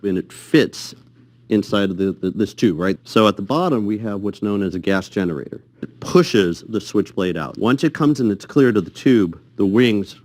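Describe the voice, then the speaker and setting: Male technical explainer, very deep voice, halting repeats. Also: darkish tone.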